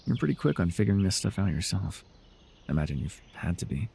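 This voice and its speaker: A man playing a proud, sardonic telepathic supervillain with hidden tenderness in an ASMR roleplay, theatrical and condescending with a husky voice. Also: low voice, very animated, fast, dark tone, slight background noise, full character voice. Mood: tender, reassuring. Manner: theatrical, grandiose, flustered, dryly condescending.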